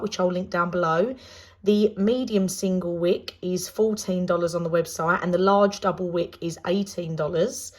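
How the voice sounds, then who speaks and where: A woman from the UK with a British accent, chatting casually through a product review, her voice slightly croaky after laryngitis. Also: on the low side. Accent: British English. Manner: chatty, rambling with many ums. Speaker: candle reviewer.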